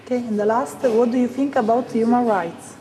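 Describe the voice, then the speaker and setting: Female interviewer, higher-pitched voice, brief prompts, crowd chatter clearly audible behind. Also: fairly even intonation, fairly quick, low-quality recording. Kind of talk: short interview questions.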